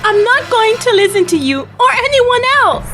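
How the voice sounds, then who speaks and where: A woman's voice: very high voice, big pitch swings, normal speed, pushed and bright, exaggerated voice. A woman voicing a distrustful, defiant young woman with magic powers, in an amateur audio drama. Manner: defiant, insistent.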